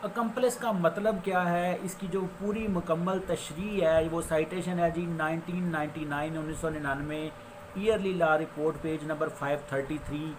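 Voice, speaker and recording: A man with a Pakistani accent giving an explanatory law lecture, deliberate and given to restating his phrases. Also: a little high, steady tone. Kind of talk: explanatory law lecture mixing Urdu with English legal terms. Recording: faint background noise.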